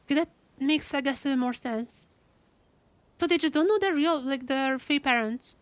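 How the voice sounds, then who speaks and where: High-pitched woman reacting to a game. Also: normal speed, no echo. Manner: excitable, repeats words. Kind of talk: live reaction commentary, casual chat.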